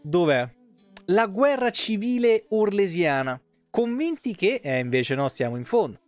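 Guy streaming, reads lore out loud n swears a bit, highish voice, soft music behind. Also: sing-song, a little slow, no echo. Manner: colloquial, profane, stumbles and self-corrects while reading. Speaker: live streamer. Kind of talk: reading game lore aloud with casual asides. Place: small room at home. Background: faint background music.

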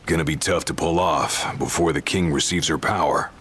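An actor playing a gruff, skeptical bodyguard in a video game, blunt and casual in manner. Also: male voice, a bass voice, steady tone, normal speed, fairly bright tone, full character voice.

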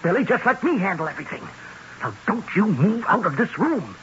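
An actor playing an anxious, fast-talking political fixer in a 1970s radio drama, urgent and placating, stumbling over words. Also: male voice, high-pitched, very animated, fairly bright tone. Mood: urgent, flustered. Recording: dull, noisy old radio broadcast.

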